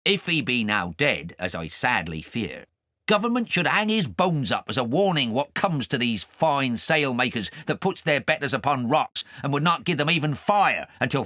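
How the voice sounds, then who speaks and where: A man's voice: medium pitch, sharp tone, no room sound, studio quality. A man voicing a self-righteous, rambling sailor with a grudge, telling his confession in an audiobook. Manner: sanctimonious, aggrieved, rambling. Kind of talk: audiobook character narration.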